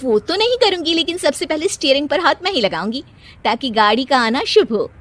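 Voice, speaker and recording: Bossy, boastful young cartoon wife, played by a woman, very high pitch, snappy comebacks. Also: big pitch swings, brisk, a bit bright. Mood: eager. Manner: brash, boastful, snapping back. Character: proud, bossy young wife boasting about her magic hands. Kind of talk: animated cartoon story dialogue.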